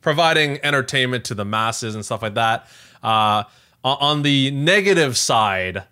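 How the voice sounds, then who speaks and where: A man with a Canadian accent and a bright, crisp-edged voice of middling pitch. He talks casually as a podcast co-host, feeling his way through sentences with plenty of hesitations and filler words. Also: expressive, measured pace. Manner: hesitant, rambling, frequent "like" and "you know". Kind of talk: casual tech news chat.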